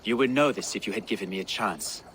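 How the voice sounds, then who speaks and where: Male noble played by an actor, grave and proud, low restrained voice, formal game drama. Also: fast, fairly bright tone, slight background noise, lightly acted, poor audio. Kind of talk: dramatic game cutscene acting.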